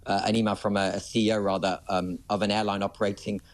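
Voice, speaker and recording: A man's voice: fairly low pitch, level tone, fast talker. A man giving live breaking news analysis as an aviation analyst, explaining step by step with hesitant phrasing and stressed key words.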